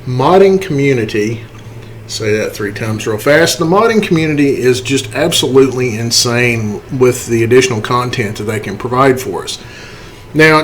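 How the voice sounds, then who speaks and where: Guy doing a laid-back game modding walkthrough, chatty, mid-pitched voice, explains as he goes. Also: slight background noise. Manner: conversational, explanatory, often tags points with a checking "okay" or "right". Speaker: tutorial host, game modder. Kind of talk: casual screen-recorded tutorial narration.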